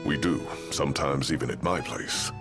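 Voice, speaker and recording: An actor playing a shrewd, courteous older man in a game cutscene, with measured, formal, wry phrasing. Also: very deep voice, music in the background. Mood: candid, wry. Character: shrewd, gravel-voiced older local man, courteous and knowing. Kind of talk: video game cutscene acting.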